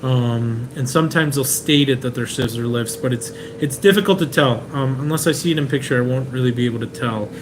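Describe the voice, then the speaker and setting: A relaxed man from Venezuela chats through viewer questions on a live stream in a mid-pitched voice. His talk wanders, with restarts and filler words, and an air conditioner hums clearly in the room. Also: fairly quick, few pauses. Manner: relaxed, chatty, many "um"s and restarts. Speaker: car importer hosting a live Q&A. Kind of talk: casual live-stream Q&A, reading viewer comments. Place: indoors in a rented apartment. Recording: clear room noise and AC hum.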